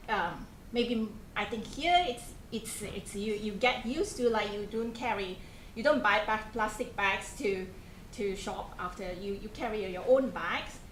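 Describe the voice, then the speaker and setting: A woman's voice: a little high, measured pace, fairly bright tone. A woman in her thirties with a Malaysian accent gives an informal talk to students, asking them questions and restarting her sentences often. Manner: conversational, asks the audience questions, frequent restarts and fillers. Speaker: programme coordinator giving a talk to students. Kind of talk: informal presentation to a student group. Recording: echoey room, faint background noise.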